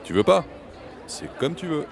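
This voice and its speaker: An actor playing a cocky, nonchalant schoolboy, speaking casually and offhandedly in an audio drama. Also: male voice, a little high, sing-song, moderate pace, gravelly, some chatter behind. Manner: casual, self-assured, offhand. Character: cocky, nonchalant teenage schoolboy offering unwanted help.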